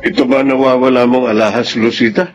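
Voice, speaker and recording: Man acting stern, measured middle-aged father. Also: medium pitch, flat delivery, muffled recording, slight background noise, close to an everyday voice. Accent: Filipino. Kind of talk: Filipino radio drama acting.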